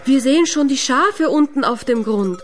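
A woman's voice: high voice, lively intonation, normal speed, music in the background, voice pushed up. A woman voicing gullible, eager villagers in a storybook reading, with emphatic exclaiming. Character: gullible, eager villagers and shepherd from a fairy tale.

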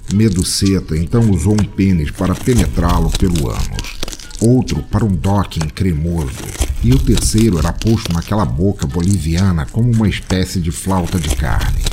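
A man with a Brazilian accent narrating a comic audio drama in a theatrical, mock-literary manner. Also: deep voice. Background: music.

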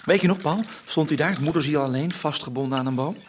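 An actor playing an eager, adventurous teenage son of a police inspector in a Dutch radio play, boyish, with a Netherlandic accent. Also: male voice, on the high side, fast talker, a little hiss or hum, close to an everyday voice. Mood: chatty, curious. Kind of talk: radio drama acting.

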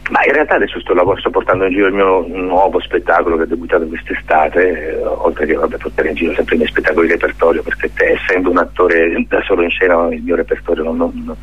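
Deep, husky, fast-talking man on the phone. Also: steady tone. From Abruzzo, Italy. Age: middle-aged. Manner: rambling, self-ironic, repeats words while searching. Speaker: guest, stage actor and solo performer. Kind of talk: casual, humorous phone interview. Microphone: telephone. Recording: muffled, husky telephone line on radio.

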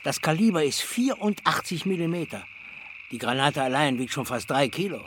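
A man's voice: fairly high pitch, normal speed, background noise, lightly acted. Man acting a precise retired explosives expert. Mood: calm, informative. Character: fussy, methodical retired male explosives expert selling weapons. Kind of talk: German crime radio drama acting.

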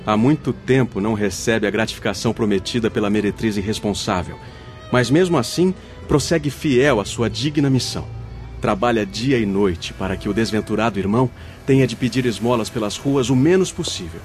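An actor playing a grave, benevolent spirit guide, explaining solemnly in a radio soap with a Brazilian accent. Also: male voice, lowish voice, fairly quick, faint music behind, natural voice. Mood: solemn, compassionate. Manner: solemn, didactic. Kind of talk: radio soap opera acting.

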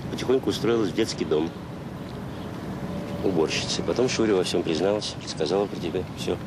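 An actor plays an easygoing middle-aged man with regrets in a radio drama. The voice is lowish, the delivery frank and unhurried, and a loud engine-like noise sits behind the old recording. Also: lightly acted. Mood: grave, hesitant. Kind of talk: Soviet radio drama acting.

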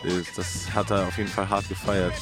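A man with a very low voice talks about music in a relaxed, conversational way. He sounds like a show's co-host, with loud music running under his words. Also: fairly quick. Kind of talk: casual music commentary. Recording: music playing behind the voice.

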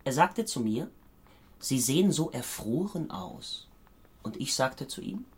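An actor playing a guarded, evasive man in his twenties under interrogation, hesitant and husky, in a radio play. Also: medium pitch, very animated, a little slow. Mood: guarded, careful. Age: 20s. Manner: hesitant, careful. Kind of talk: German radio play acting.

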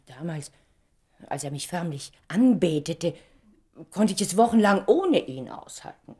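A self-important young diva in a radio drama. Her delivery is highly animated, swinging widely in pitch, and full of wounded pride and theatrical indignation. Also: unhurried, breathy edge. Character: vain, theatrical, wounded stage star of twenty-nine. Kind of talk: German radio play acting.

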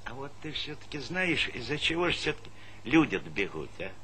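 A brisk, wry collective farm chairman in a Soviet stage comedy. His voice is sharp and forceful at an ordinary male pitch, teasing and then bossy. Faint noise sits on the old theatre recording. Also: very animated. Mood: wry, businesslike.

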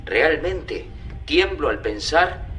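An actor with an Argentine accent playing a thoughtful, wary resistance fighter in a radio drama, reasoning in a deliberate, foreboding way. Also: male voice, on the high side, sing-song, fairly bright tone, soft background music, full character voice. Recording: clearly noisy sound-effect ambience behind.

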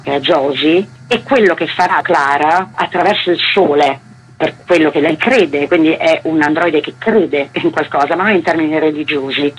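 A woman with a husky voice, speaking as a theatre director in a conversational radio interview. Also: low voice, slight background noise, rough recording.

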